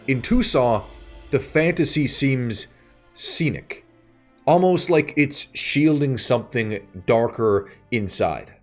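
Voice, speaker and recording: A man narrating a scripted video essay in a measured way, pausing between short phrases. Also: mid-range voice, animated. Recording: music bed behind the voice. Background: background music.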